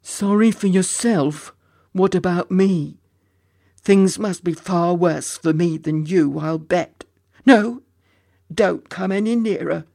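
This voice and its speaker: A storyteller's mournful, rumbling, slow-spoken dragon. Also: gravelly, a little mellow, no room sound, clean studio sound. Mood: distressed, self-pitying. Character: huge sorrowful dragon, gruff, self-pitying, kindly at heart.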